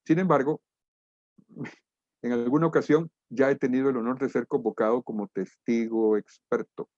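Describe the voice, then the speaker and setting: A man's voice: medium pitch, steady tone, darkish tone, dry recording. Dull-sounding Guatemalan man giving a lecture. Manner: deliberate, emphatic, rhetorical questions. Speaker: international trade lecturer and expert. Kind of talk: webinar lecture, explanatory.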